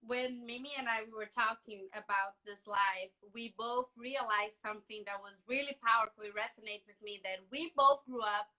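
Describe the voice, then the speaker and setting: A woman journalist hosting a conversational interview, enthusiastic and warm. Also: fairly high pitch, fairly even intonation, normal speed, few pauses, poor audio. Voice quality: warm, enthusiastic, restarts often. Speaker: host, journalist.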